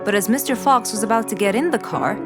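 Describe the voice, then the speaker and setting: A woman's voice: a little high, quick pace. A woman narrating a scripted story for children. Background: loud music.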